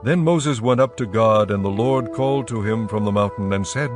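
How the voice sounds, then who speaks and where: Low-voiced male scripture narrator. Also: normal speed. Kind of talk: scripted narration of dramatized audio Bible. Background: soft music.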